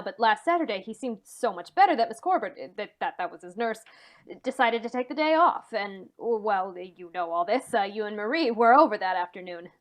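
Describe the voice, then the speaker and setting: A woman's voice: on the high side, natural voice. A woman acting a nervous, hesitant man in an old-time radio mystery, stammering as the character confides. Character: anxious man confiding a suspected family murder.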